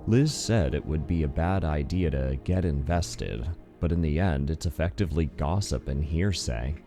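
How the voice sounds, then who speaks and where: A man's voice: extremely low pitch, lively intonation, normal speed, dark tone, faint music behind. A man reading scripted first-person narration for a visual novel in an introspective, wry manner. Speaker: narrator and voice actor.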